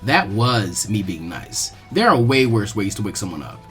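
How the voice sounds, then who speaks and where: Brash young male ninja for a story, voiced by a man: tough, taunting, animated delivery. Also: fairly low pitch, moderate pace, soft background music, pitched below the performer's own voice.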